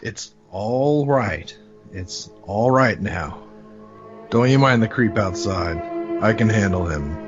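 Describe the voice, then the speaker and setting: An actor playing a tough, urgent truck driver in a horror audio drama, talking in a folksy, slangy, commanding way. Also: male voice, fairly low pitch, lively intonation, slow, over loud music. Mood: urgent, gruff. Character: tough, urgent truck driver taking charge under siege. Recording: dull lo-fi recording.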